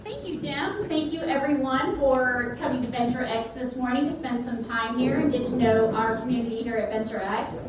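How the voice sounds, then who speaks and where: A woman's voice: high-pitched, moderate pace, hardly any pauses. A middle-aged woman with a South Carolina accent, speaking as a guest at a networking event in a folksy, chatty way. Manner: folksy, chatty, repeats words. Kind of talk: networking talk to a live audience. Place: event space. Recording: echoey room from far off, noisy.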